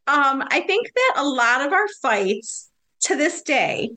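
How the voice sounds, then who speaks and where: A woman guest on a podcast, expressive and reflective, quick with exclamations as she talks about her marriage and business. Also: sits high, rough recording. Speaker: podcast guest, blogger and mother. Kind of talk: casual podcast interview.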